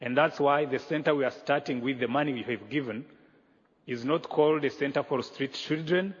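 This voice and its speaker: A man from Rwanda preaching as a guest pastor, earnest and measured with pauses, in a church sanctuary. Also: medium pitch, breathy edge. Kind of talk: sermon. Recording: echoey hall, dull lo-fi sound.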